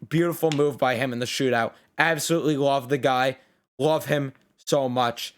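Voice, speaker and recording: A man's voice: mid-range voice, steady tone, normal speed, a little hiss or hum. A tired young man giving a blunt, casual post-game reaction, swearing freely as he says he is losing his voice. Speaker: sports reaction host.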